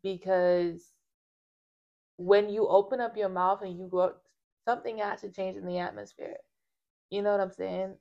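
Woman, casual faith talk, emphatic, animated, loud projected voice, mid pitch. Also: normal speed, gravelly, pauses often, highs cut off. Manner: animated, emphatic, says "like" often. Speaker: co-host discussing faith.

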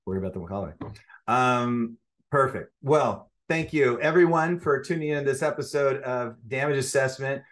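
A man hosting a podcast interview, relaxed and encouraging, asking short follow-up questions. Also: mid-range voice, normal speed. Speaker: podcast host.

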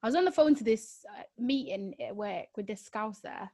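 A woman co-hosts a podcast and tells stories with lively energy. Her voice sits a little on the high side for a woman, and she talks in a chatty, animated way. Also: normal speed, a crowd in the background. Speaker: podcast co-host, actor. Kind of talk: casual chat.

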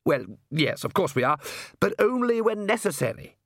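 A suave vampire count, played by a male narrator, smug and genial, with a lifted, swooping delivery. He sounds measured and urbane, in a dry fantasy audiobook recording. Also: sits high, big pitch swings, dry recording, voice pushed up, full character voice. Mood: genial menace.

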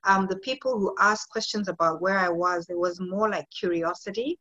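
A woman's voice: mid-range voice, moderate pace, fairly bright tone, dull audio. A woman in her late thirties from Zimbabwe, a fitness coach answering interview questions in a friendly, thoughtful way with some hesitation. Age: 30s.

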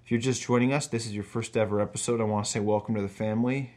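A man's voice: on the low side, steady tone, racing, darkish tone. Jokey young man hosting a podcast. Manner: loose and jokey, with frequent fillers. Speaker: podcast host. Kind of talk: casual comedic podcast chat.

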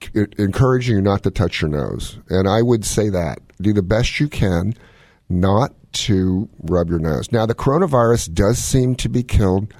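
A man with a low, husky voice talks health on a radio show in a folksy, joking way. His pitch moves around a lot as he hands out casual advice. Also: expressive, moderate pace, darkish tone, dry recording. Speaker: medical expert radio host.

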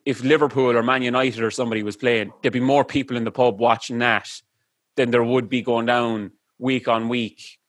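A chatty Irish man talking on a podcast, rambling along with plenty of fillers. His voice sits at an ordinary middle pitch. The sound is dry and close, with no room in it. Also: dry recording. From Ireland. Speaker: podcast host. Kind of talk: casual chat.

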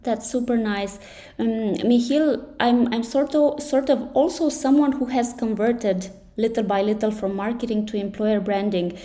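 Woman, podcast host, higher pitch, mellow tone, friendly encouraging interviewer. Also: moderate pace. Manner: friendly, encouraging, frequent affirmations.

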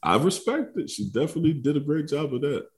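A young man co-hosting a casual podcast, sounding stuffy and congested, laughing through crude banter and clearing his throat often. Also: a little high, animated, fast talker. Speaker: podcast co-host. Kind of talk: casual chat.

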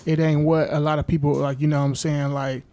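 A man's voice: a little high, flat delivery, quick pace, mellow, no room sound. A man with an American accent interviewing in a casual, slangy, conversational way. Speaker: interviewer, show host.

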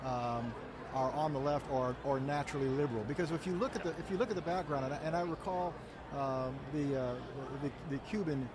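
A man hosts an interview at a quick clip, his voice a little high, and he often pauses on fillers. Traffic and street noise come through clearly behind him. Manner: measured, halting with fillers. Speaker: guest host. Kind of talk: conversational interview. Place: outdoor event.

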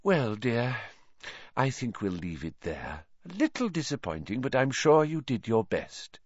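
A man voicing a dreamy, fussy female divination teacher who talks softly and dramatically. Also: mid-range voice, huge pitch swings, a little slow, no room sound, highs cut off. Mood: dreamy, dismissive. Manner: soft, whispery, dramatic.

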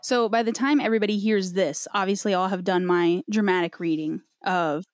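A woman's voice: mid-range voice, steady tone, fairly quick, dry recording, studio quality. A woman hosting a podcast and interviewing in a casual, chatty style. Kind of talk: casual chat.

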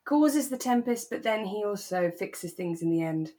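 Woman co-hosting a podcast, relaxed chatty delivery, normal pitch. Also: moderate pace. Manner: relaxed, conversational. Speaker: podcast co-host. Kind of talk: casual chat about a radio drama.

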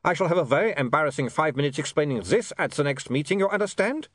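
An eager-to-please reformed vampire, played by a male narrator, pitched well above a normal reading voice. The delivery is lively and formally polite, a little insistent, as in a storybook told aloud. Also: quick pace, no room sound, studio quality. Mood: wounded, put-upon. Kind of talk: audiobook character voice.

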